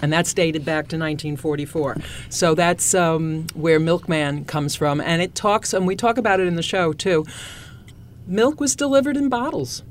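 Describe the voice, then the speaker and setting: A woman's voice: sits low, normal speed, slight background noise. An older woman from Long Island, a cabaret singer, talking as a guest in a casual interview and telling stories with enthusiasm. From New York, Long Island. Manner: enthusiastic, storytelling.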